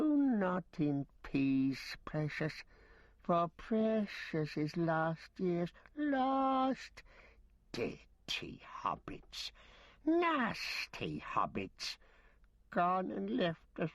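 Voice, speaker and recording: A man's voice: mid-range voice, dry recording, voice pushed up, heavily acted. A man voicing a wretched, grasping male creature who hisses, whispers and mutters to himself in a broken, wheedling way. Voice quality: hissing, whispery, swooping. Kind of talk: audiobook character voice.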